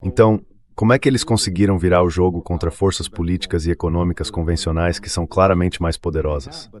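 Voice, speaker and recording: A man's voice: low-pitched, fairly even intonation, fast, darkish tone. A man with a Brazilian accent reading a translated interview answer as analytical political commentary.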